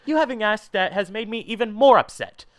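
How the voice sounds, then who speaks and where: Irritable villain team boss, voiced by a man: grumbling, animated, dry close sound. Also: high-pitched, brisk, no echo. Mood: exasperated, bossy. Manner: grumbling, exasperated. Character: irritable, bossy male cartoon villain team leader.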